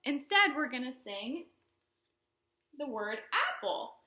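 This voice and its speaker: A woman leads a storytime lesson for little children in a high voice that swings widely up and down. Her tone is bright and forward. She explains things simply, in a sing-song way, and keeps putting questions to her young viewers. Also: very animated, slow pace. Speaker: children's librarian, storytime host.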